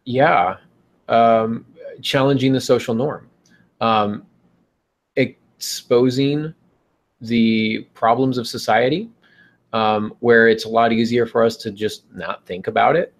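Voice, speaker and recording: A man in a casual podcast discussion, giving reflective writing advice in a halting, thoughtful, explanatory way. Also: medium pitch, steady tone.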